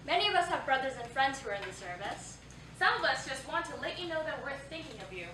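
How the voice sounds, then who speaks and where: A supportive young woman of the war years played by a stage actress, bright and high as she recites. The sound is distant and echoey, with some noise behind. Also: very animated, fast, heavily acted. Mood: playful, friendly. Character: young Japanese-American wartime pen-pal girl, friendly, supportive. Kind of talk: staged reading of a historical play. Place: theatre stage, live staged reading. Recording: echoey theatre, distant mic, background noise.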